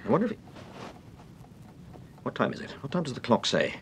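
A wry, decisive British detective in an old radio thriller. His medium-pitched voice swings very widely, over a faint rumble. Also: very animated, fairly quick, vocal fry. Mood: puzzled.

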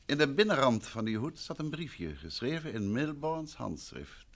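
An actor playing a composed, probing detective husband in an old Dutch radio drama, speaking with polite, measured questions. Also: male voice, mid-range voice, sing-song. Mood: courteous, probing.

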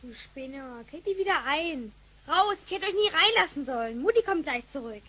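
A woman's voice: high-pitched, animated, normal speed, a bit bright, a little hiss or hum. A female performer playing a plucky, forthright schoolgirl with cheeky, direct speech in a radio play. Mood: indignant.

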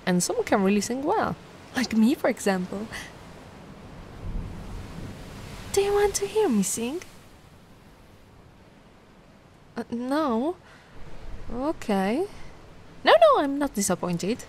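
A woman voicing a giant, sweet and curious young mermaid in an ASMR roleplay, gushing and doting in a gentle, cooing way. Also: high-pitched, big pitch swings, measured pace, heavily acted. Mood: playful, sweet. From Italy. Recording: noticeable ambient background noise.

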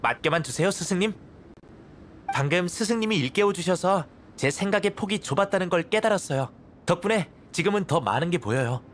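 An actor playing an earnest young research assistant in an anime game, polite, excitable and deferential. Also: male voice, sits high, brisk, a little hiss or hum. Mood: eager.

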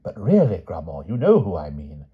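A man reading an audiobook, voicing a solemn knight who speaks slowly and deliberately. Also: deep voice, wildly swooping pitch, breathy edge, narrow, tinny audio, full character voice. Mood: chiding. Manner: solemn, measured. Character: solemn foreign knight and tutor. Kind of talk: audiobook character voice.

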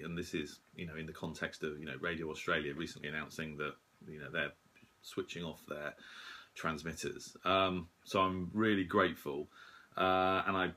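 A man in his forties giving a casual, modest, hesitant update to camera in a small room, sounding slightly rough and husky after a late night. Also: low-pitched, bright, forceful tone. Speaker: shortwave radio hobbyist and video host.

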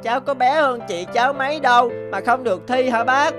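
An actor playing a cocky, eager little boy mouse in an animated cartoon, excitable in manner. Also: high voice, a little fast, natural voice. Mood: pleading. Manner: boastful, pleading, excitable. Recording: music behind the voice.

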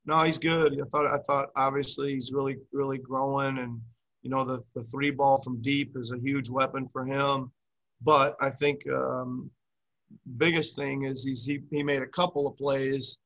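Middle-aged coach on a webcam call, flat bright voice, keeps restarting sentences. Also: male voice, medium pitch, normal speed, rough recording. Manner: rambling, repeats words and restarts. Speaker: basketball head coach. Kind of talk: post-game press conference. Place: small room before a team backdrop. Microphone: video call webcam.

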